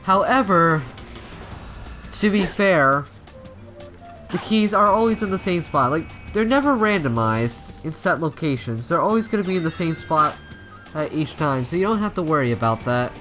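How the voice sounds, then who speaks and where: A man's voice: fairly high pitch, expressive, normal speed, bright, forceful tone, faint music behind. A man giving casual let's play commentary, rambling and often stumbling and rephrasing as he goes. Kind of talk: casual video game commentary.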